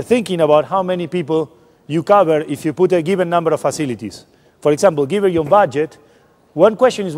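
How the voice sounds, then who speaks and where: A man's voice: on the high side, a little mellow. A man giving a public science lecture, explaining informally and often restarting his sentences. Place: large lecture hall. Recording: long hall reverb.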